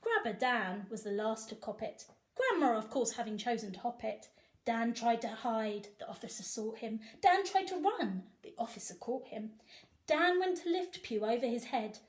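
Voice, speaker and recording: A woman reads a picture book to children in a fairly high voice. Her delivery swings widely in pitch, animated and emphatic, with a sing-song lilt on the rhymes. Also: moderate pace. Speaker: storyteller reading to children. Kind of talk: expressive picture book read-aloud.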